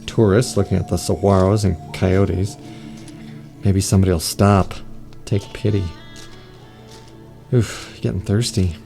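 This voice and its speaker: An actor playing a henpecked, exasperated husband in an audio drama, grumbling in short bursts. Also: male voice, deep voice, steady tone, measured pace, gravelly, pauses often, dark tone, faint music behind. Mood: grumbling, puzzled.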